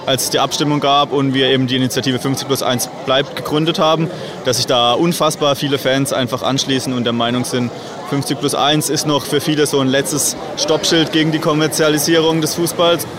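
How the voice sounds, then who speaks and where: A man's voice: medium pitch, flat delivery, fairly quick. A man in his twenties, a football fan explaining his initiative in an outdoor interview. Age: 20s. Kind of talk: interview answers. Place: outdoors at a crowded stadium fest. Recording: crowd noise behind, outdoors.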